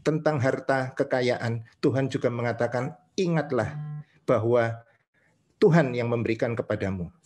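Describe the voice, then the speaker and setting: A man's voice: mid-range voice, normal speed, a little mellow. A man with an Indonesian accent preaches in a didactic way, reading scripture and explaining it phrase by phrase with short confirming tags.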